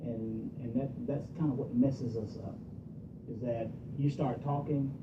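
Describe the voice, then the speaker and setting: A man's voice: fairly even intonation, measured pace. Man explaining in an echoey room. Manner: explains with homely examples and stories. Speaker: guest on a talk show. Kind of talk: casual advice talk. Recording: echoey, muffled, from far off with rumble.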